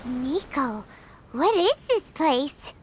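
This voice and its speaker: A childlike little fairy girl from a video game, excitable and easily frightened. The voice is extremely high and squeaky, very animated yet drawn out in pace. It is exclamatory and cartoonish. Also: very slow, a little hiss or hum, exaggerated voice. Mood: puzzled, uneasy. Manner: squeaky, exclamatory, speaks of self in third person. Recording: prominent background music.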